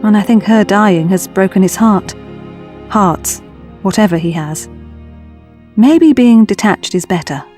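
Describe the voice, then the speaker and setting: Woman playing an earnest young traveller, mellow voice. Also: medium pitch, lively intonation, a little slow, pauses often, soft background music, close to an everyday voice. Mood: reflective, worried. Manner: earnest, confiding. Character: caring, troubled young woman travelling through time.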